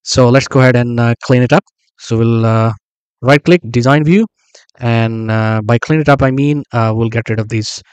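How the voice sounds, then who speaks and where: A man explaining a database build step by step while working on screen, thinking aloud with frequent pauses and small self-corrections. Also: mid-range voice, clear, resonant tone, dry recording. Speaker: software tutorial instructor. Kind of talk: screen-recorded tutorial narration.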